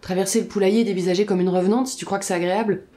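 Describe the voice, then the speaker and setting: A woman playing a cynical, brash, sharp-tongued woman. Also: mid-range voice, fairly even intonation, rapid-fire, close to an everyday voice. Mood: cynical, irritated. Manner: sardonic, blunt, slangy. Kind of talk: audio drama acting.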